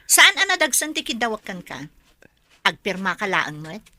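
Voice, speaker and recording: An actress with an Ilocano accent playing a greedy, bossy middle-aged aunt in a radio drama. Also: female voice, medium pitch, very animated, normal speed, bright, forceful tone, no room sound. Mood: coaxing, sly. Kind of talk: Philippine radio drama acting.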